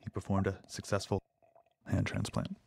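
An actor playing a nervous, devoted lab assistant in an audio drama, speaking earnestly and with hesitant stammers. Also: male voice, deep voice, expressive, moderate pace, vocal fry, a little mellow, slightly rough, full character voice. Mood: subdued, ashamed.

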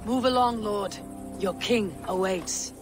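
An actress playing a steady Viking woman leader who speaks calmly, bluntly and dryly in game cutscenes. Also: medium pitch, slow, long reverb tail, music in the background, close to an everyday voice. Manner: calm, dry, blunt. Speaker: game protagonist.

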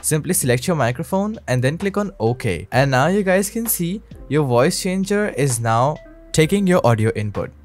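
A man's voice: a little high, big pitch swings, normal speed. Male tutorial narrator over background music. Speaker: YouTube tech tutorial host. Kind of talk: scripted software tutorial voice-over.

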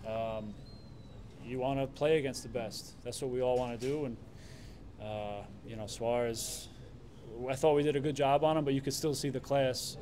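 A man's voice: medium pitch, normal speed. Man, thirties, goalkeeper at press conference, casual with lots of fillers, faint room hum. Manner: relaxed, many fillers and restarts. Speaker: soccer goalkeeper. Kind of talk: post-game press conference answers.

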